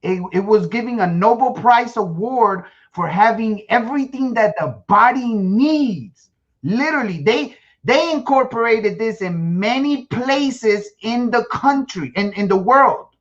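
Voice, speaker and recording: A man's voice: sits high, a bit bright, lo-fi sound. A man from Guatemala hosting a casual survival-prep livestream, boastful and joking, often stumbling over his words as he talks to viewers. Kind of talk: casual live-stream chat to viewers.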